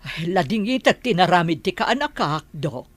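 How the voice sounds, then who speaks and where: A man voicing a distraught relative who pleads loudly with a doctor in a radio drama. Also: high voice, very animated, moderate pace, pitched above the performer's own voice. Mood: anguished. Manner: loud, anguished outbursts.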